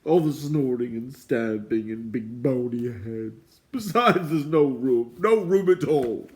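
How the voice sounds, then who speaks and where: Mournful nervous fairy gatekeeper, shrill and draggy, put on by a male narrator. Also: lively intonation, slow pace. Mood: whining distress. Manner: whining, pleading, sobbing. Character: mournful thin fairy doorkeeper, anxious, weepy.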